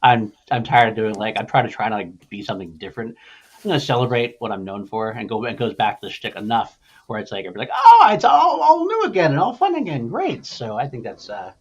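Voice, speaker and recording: A man's voice: medium pitch, huge pitch swings, quick pace, breathy edge, muffled recording. A middle-aged man hosting a casual movie discussion, rambling along with enthusiasm. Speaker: podcast host.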